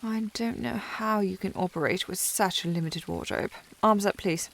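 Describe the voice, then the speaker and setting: A woman's voice: mid-range voice, moderate pace, fairly bright tone. A woman playing a snobbish, teasing young lady with haughty, formal phrasing in a historical romance ASMR roleplay. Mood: bossy, fussing. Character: snobbish, teasing young upper-class lady, secretly fond.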